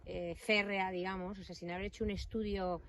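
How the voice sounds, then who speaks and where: A woman's voice: mid-range voice, level tone, brisk. A woman from Madrid, a naturalist guest, explaining things carefully and precisely outdoors. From Madrid, Spain. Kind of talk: explanatory interview talk. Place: outdoors in the countryside. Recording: distant mic, noisy outdoors.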